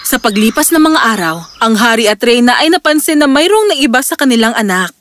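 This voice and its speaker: A woman's voice: a little high, big pitch swings, a little fast, fairly bright tone, slight background noise. A woman narrator reading a scripted fairy tale for children.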